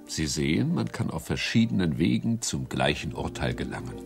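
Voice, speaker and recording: A man narrating a radio play in a wry, measured storytelling manner. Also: rumbling low, sing-song, gravelly, music in the background.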